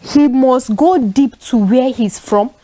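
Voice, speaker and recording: A young woman from northern Nigeria with a Nigerian accent, speaking as a talk show panelist and telling stories in an animated way, with frequent fillers. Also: high-pitched, slow pace. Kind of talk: casual panel discussion.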